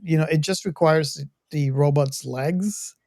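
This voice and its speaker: A man's voice: fairly high pitch, measured pace, creaky, darkish tone, dry recording. Man chatting casually in a dry-sounding space. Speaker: quiz participant. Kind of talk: casual chat, thinking aloud.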